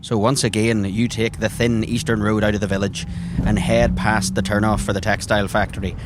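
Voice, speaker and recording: A man's voice: fairly low pitch, flat delivery, fairly quick, background noise. A man working as game master, narrating scenes descriptively for a tabletop role-playing game.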